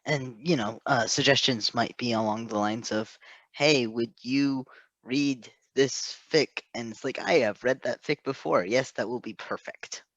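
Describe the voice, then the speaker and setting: A man's voice: mid-range voice, a little slow, fairly bright tone, no echo. A man hosting in a casual chat style, enthusiastic and prone to rambling. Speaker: host.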